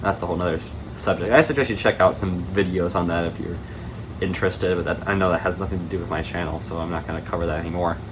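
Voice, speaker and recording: A man's voice: sits low, fairly even intonation, fast, background noise. A man giving casual, rambling hobby commentary as the host of an unboxing video. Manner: rambling, tangent-prone.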